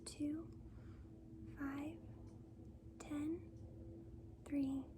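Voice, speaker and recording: High, slow, whispering ASMR woman. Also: level tone, noisy background. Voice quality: soft, breathy, mostly whispered. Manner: gentle, reassuring, slow and deliberate. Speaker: ASMR performer playing a school nurse. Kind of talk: soft-spoken ASMR roleplay.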